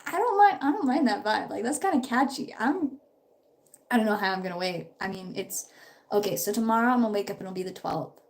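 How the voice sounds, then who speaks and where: Young woman live streaming while doing makeup, a bit under the weather, talks fast and loose, circles back on herself. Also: mid-range voice, animated, creaky. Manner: chatty, upbeat, rambling with quick restarts. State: slightly sick. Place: home, her parents' house.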